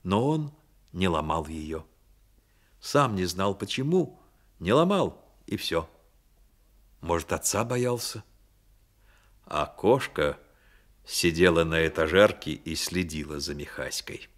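A man playing the grave, attentive storyteller in a radio drama, narrating in an expressive, deliberate way. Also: low-pitched, full character voice.

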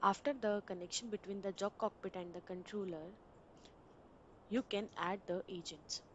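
A woman reading a screen-recording software walkthrough in a steady instructional way, with small grammar slips. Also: medium pitch, moderate pace, dull audio, a little hiss or hum. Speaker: software tutorial narrator.

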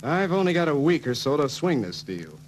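Excitable young salesman, wildly swooping voice. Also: fairly high pitch, brisk. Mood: exasperated. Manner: emphatic, exasperated. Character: excitable, henpecked young car salesman husband. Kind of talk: 1940s film acting. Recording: noisy 1940s film soundtrack.

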